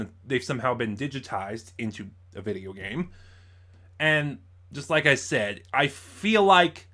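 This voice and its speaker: Man giving a halting, informal review. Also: mid-range voice, bright, forceful tone. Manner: halting, thinking aloud, self-correcting. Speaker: audio drama reviewer.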